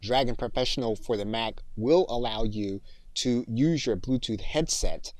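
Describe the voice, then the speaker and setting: A man giving instructional tutorial narration as a course instructor, in a measured, explanatory way. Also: mid-range voice, a little mellow, dry recording.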